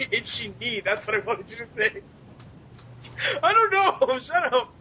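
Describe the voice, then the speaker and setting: High-pitched giggly young man, muffled noisy mic. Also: big pitch swings, slow, pushed and bright. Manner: giggly, profane, self-mocking. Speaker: podcast co-host and amateur comedy writer. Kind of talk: casual group banter. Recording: dull lo-fi voice chat, noisy rumble.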